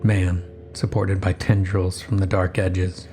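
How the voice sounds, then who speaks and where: Male narrator for first-person horror: very low, monotone, measured scripted delivery. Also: soft background music. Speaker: narrator and writer of horror story.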